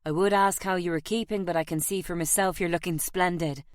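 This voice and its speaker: A woman voicing a tough but charming, flirtatious Irishman with a soft voice, in an audiobook story. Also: fairly low pitch, steady tone, a little fast, dry recording, studio quality, lightly acted. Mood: warm, flirtatious.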